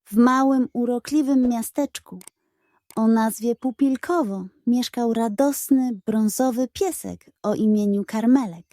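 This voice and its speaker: A woman storyteller narrating a scripted bedtime tale for children in an expressive style. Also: on the high side, measured pace, no echo, studio quality.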